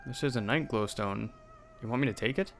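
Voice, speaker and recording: Young man, let's play host, reads game text with drama, curses in asides, middle pitch, faint music behind. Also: a little fast. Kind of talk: reading game text aloud with commentary.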